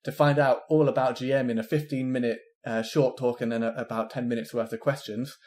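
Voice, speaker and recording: A young man co-hosting a casual science podcast, a bit husky from a cold and full of playful jokes. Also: medium pitch, steady tone, fairly quick, a little mellow. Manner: joking, dry and playful. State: has a cold, voice deeper than usual. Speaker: podcast co-host, PhD researcher.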